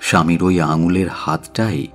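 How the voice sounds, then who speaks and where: A man narrating a scripted audio story as its presenter, with a measured, theatrical delivery. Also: low-pitched, steady tone. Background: music.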